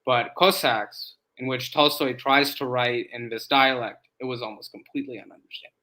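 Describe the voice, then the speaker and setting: A man guest with a bright, mid-pitched voice explains his academic field. He talks in a measured way and fills his pauses with "um". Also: fairly even intonation, rough recording. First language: English. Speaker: guest, academic in Slavic studies. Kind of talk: casual interview chat.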